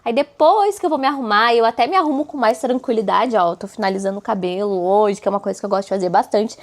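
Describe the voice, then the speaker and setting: A chatty woman with a hoarse, roughened voice talks casually to her followers, throwing in frequent tag questions. Her pitch sits at an ordinary middle height for a woman. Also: fast talker. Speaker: vlogger. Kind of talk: casual chat to camera.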